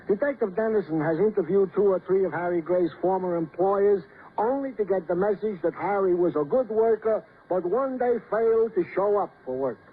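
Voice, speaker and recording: A man's voice: sits high, moderate pace, breathy edge, narrow, tinny audio. A man playing a fussy, wordy police sergeant, pedantic and formal in old-time radio style. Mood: officious. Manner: formal, flowery, pedantic report delivery. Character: fussy, precise, wordy male police sergeant reading notes. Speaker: actor in a radio detective drama. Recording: thin old radio broadcast with faint noise.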